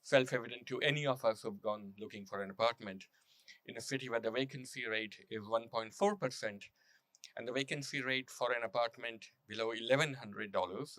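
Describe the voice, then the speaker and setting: Bright-voiced man telling stories to the public. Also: medium pitch, no echo. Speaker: author and journalist speaking at a public reading. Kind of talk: public talk with prepared storytelling and read testimony.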